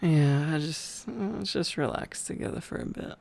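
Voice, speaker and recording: A tired man in an intimate ASMR boyfriend roleplay, rambling affectionately in a soft, sleepy murmur that often slips toward a whisper. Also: on the high side, moderate pace, vocal fry.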